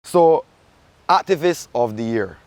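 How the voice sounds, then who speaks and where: A man hosting an interview, asking questions in a relaxed way and giving short agreeing replies. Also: medium pitch, takes it slowly, dry recording, faint music behind. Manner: relaxed, with frequent short affirmations.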